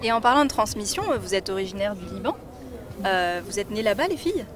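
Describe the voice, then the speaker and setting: A woman interviewer asking brisk, friendly questions at a festival. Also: medium pitch, lively intonation. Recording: crowd noise behind.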